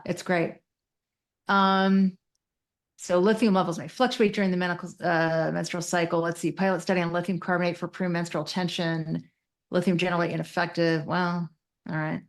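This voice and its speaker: A woman speaking as a clinical guest in casual expert conversation, frank and opinionated, thinking aloud. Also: on the low side. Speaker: podcast guest, psychiatric clinician.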